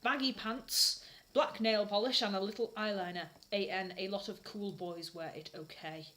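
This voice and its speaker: A British woman hosts a mocking dramatic reading. Her voice is average in pitch, with theatrical emphasis on the narration. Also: fairly even intonation, normal speed, a bit bright. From Britain. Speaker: host reading fanfiction aloud.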